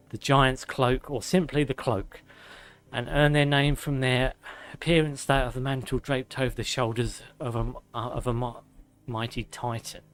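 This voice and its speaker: A man streaming a game, reading lore aloud with sarcastic asides, his voice going hoarse and a little out of breath. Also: medium pitch. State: slightly out of breath. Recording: faint music behind.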